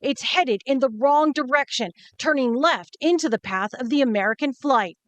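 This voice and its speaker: A woman's voice: high voice, normal speed, no room sound. A woman delivering scripted news narration as a reporter.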